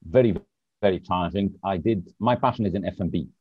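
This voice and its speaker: Deep-voiced Lebanese-born man, dull remote audio. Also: fairly quick, slightly rough, no echo. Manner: searches for words, hesitant. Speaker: podcast guest. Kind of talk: casual interview chat.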